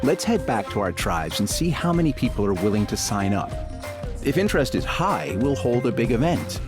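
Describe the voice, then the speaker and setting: A man as an affable, expressive game chieftain. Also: mid-range voice, normal speed, music in the background, lightly acted. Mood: persuasive, decisive. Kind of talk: video game character acting.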